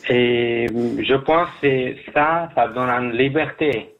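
A man guesting on a radio show, telling his story haltingly with many restarts, heard over a phone line. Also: mid-range voice. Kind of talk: halting personal account.

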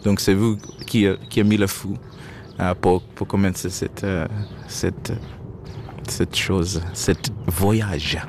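A man's voice: sits low, fairly even intonation, slow pace, darkish tone, slight background noise. A man, a Shona speaker, answering interview questions hesitantly and searching for his words in French. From Zimbabwe. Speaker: musician guest.

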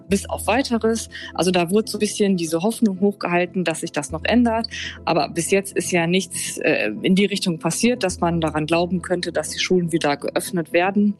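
A woman journalist from Germany, giving interview answers in a thoughtful, explaining way with some fillers. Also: lowish voice. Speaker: freelance journalist, guest.

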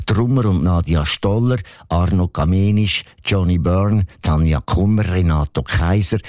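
Deep-voiced radio presenter man. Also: a little mellow, no echo, studio quality. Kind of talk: scripted broadcast introduction.

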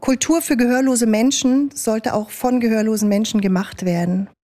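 A woman's voice: a little high, normal speed, dark tone. A woman speaking in an interview as an interpreter, in everyday conversational phrasing. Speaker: sign language interpreter. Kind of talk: interview answers and spoken interpreting.

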